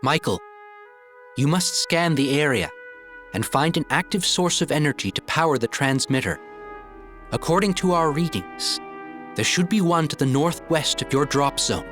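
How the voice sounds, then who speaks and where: A man's voice: a little high, animated, moderate pace, a bit bright. A man playing a serious mission commander in a sci-fi game, formal and explanatory in manner. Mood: calm, instructive. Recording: music behind the voice.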